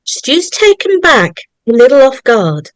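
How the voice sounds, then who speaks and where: A woman's voice: high-pitched, big pitch swings, unhurried, no echo, rough recording. A woman narrating, reading out the stage directions of a screenplay at a table read.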